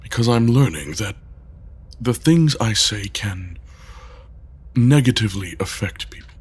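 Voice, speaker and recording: A brooding, overworked leader in an audio drama. His voice is very husky and rough, and he speaks slowly and haltingly, with pitch that swings widely as his awkwardness shows. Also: animated. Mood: hesitant, self-conscious. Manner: halting, deliberate, sighing.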